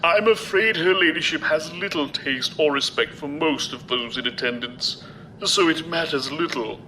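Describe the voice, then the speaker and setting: A man's voice: fairly high pitch, normal speed, pushed and bright, a little hiss or hum, low-quality recording. A man playing a courteous, loyal aide to a noblewoman in an audio drama, speaking formally, precisely and deferentially. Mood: polite, dry.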